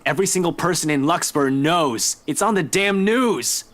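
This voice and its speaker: A man's voice: fairly high pitch, lively intonation, normal speed, fairly bright tone. A man playing a stern, exasperated police officer in a visual novel game.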